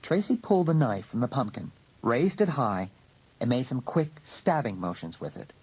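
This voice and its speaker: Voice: medium pitch, big pitch swings, moderate pace, a little mellow, husky, rough recording. A narrator reading a scripted, dramatic story for an audiobook.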